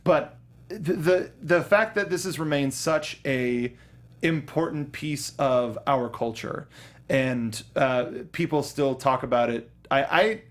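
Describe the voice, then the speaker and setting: A man's voice: mid-range voice, slow. A young man co-hosting a podcast in casual chat, enthusiastic and often stumbling over restarts as he talks about something he loves. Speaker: podcast co-host.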